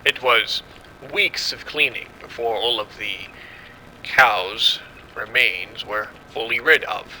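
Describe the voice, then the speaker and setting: Confident male scientist played by a male actor for audio drama; measured, explanatory, very sharp and forceful, faint ambient noise. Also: medium pitch, low-quality recording. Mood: assured, explanatory. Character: confident male scientist running a secret military experiment.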